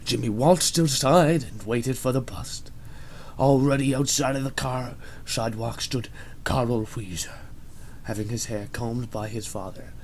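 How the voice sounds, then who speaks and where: A man's voice: mid-range voice, measured pace. Man narrating a story over audible noise. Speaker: narrator of a fan fiction reading. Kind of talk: dramatic scripted narration.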